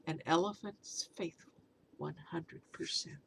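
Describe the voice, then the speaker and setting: A woman's voice: low-pitched, unhurried, vocal fry, fairly bright tone, a little hiss or hum, voice pushed down, full character voice, poor audio. Earnest loyal elephant guy, read by a woman, pretty much her own voice, storybook. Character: faithful male elephant, earnest and steady.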